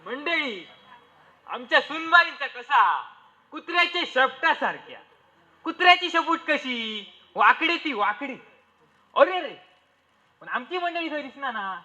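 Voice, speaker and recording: A man's voice: very high voice, lively intonation, measured pace, pauses often, sharp tone, exaggerated voice. A man playing a playful, grumbling old father-in-law in a comic stage play, declaiming with a Malvani accent. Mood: mocking. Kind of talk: broad comic stage acting in a dialect play. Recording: dull, lo-fi stage recording.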